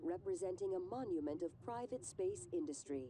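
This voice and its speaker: A woman playing a calm announcer reading scripted information in a sci-fi video game. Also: lowish voice, a little fast, a loud crowd, natural voice. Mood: composed, informative. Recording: game audio under loud noise, clicks.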